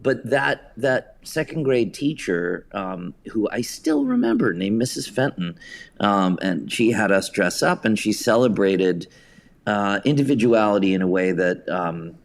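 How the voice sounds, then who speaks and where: Low-voiced American man telling wry stories. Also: very animated, measured pace, vocal fry. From suburban New York. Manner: wry, self-deprecating humor. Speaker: podcast guest, theater director and writer. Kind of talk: casual anecdotal storytelling.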